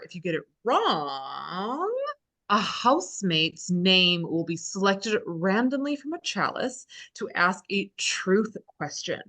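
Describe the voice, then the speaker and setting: A woman's voice: lowish voice, a bit bright, exaggerated voice. A woman reading the part of a bossy game show host in a comedy table read.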